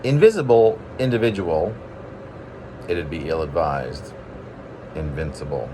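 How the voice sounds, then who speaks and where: Man, poetry reading, very lively intonation, chatty self-deprecating asides, playfully dramatic, noticeable background hum. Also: rumbling low, measured pace. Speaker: poet reading own work.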